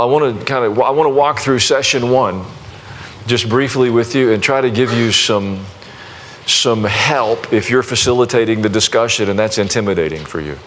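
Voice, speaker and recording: Folksy pastor with restarts. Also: male voice, fairly low pitch, a bit bright, slight background noise. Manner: conversational, folksy, self-deprecating with many restarts. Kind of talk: sermon and prayer to a congregation.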